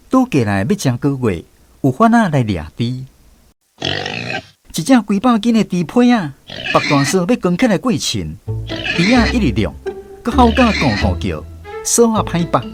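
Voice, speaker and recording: A man's voice: fairly high pitch, wildly swooping pitch, normal speed. A man narrating a village story in a radio drama, looking back on his childhood. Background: music.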